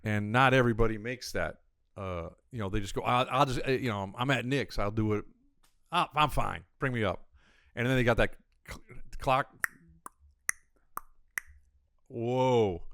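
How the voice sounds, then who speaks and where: A man's voice: mid-range voice, unhurried, pauses often, a bit bright, smooth, dry recording, clean studio sound. A man talking casually as a comedian guest, telling anecdotes in colloquial phrases and acting out short quotes. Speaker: comedian and festival owner, podcast guest. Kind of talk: casual anecdotal interview chat.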